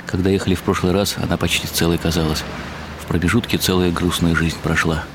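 An actor playing a world-weary writer who narrates a literary radio drama in a wry, ironic, musing way. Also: male voice, low-pitched, little pitch movement, quick pace, background noise. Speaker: narrator and lead character.

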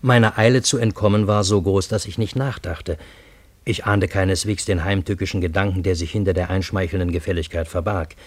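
An actor narrating a radio drama as a troubled Parisian man, with a husky voice and measured, literary, dramatic delivery. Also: low voice, fairly even intonation, no echo. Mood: troubled, tense. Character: troubled Parisian man recounting his fantastic time-loop adventure.

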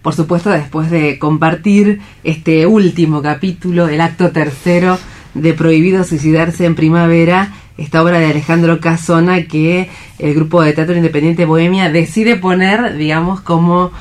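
Woman, radio host, slightly low pitch, unhurried conversational commentary on drama. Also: steady tone, darkish tone.

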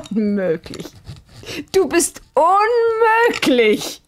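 An actress playing a young wife in a radio drama, reproachful and biting, with cutting, exasperated delivery. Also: female voice, very high-pitched, big pitch swings, drawn-out words, exaggerated voice. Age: young adult. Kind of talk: German radio drama acting.

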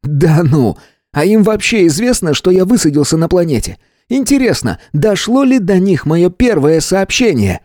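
A man reading aloud, voicing a frightened, near-hysterical male Earthman who talks shrill and pleading. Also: very animated, moderate pace, darkish tone, dry recording, clean studio sound. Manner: shrill, pleading, rising to squeals.

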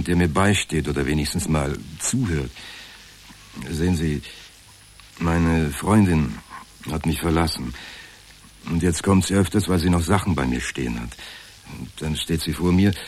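An actor playing an insecure, downtrodden insurance clerk in a radio drama, talking in a halting, self-pitying way. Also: male voice, a bass voice, a little mellow, a little hiss or hum. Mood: dejected, anxious. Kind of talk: naturalistic radio drama acting.

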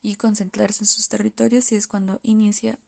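A woman with a Costa Rican accent giving an informal explanation as a radio contributor, halting as she searches for words. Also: medium pitch, little pitch movement, creaky, warm tone. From Costa Rica.

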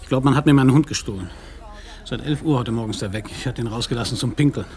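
A man's voice: mid-range voice, quick pace, darkish tone, close to an everyday voice. An actor in a German radio play voicing a bitter, grieving Danish man, brooding, weary and sardonic. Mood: agitated, worried. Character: bitter, grieving Danish man whose dog was stolen.

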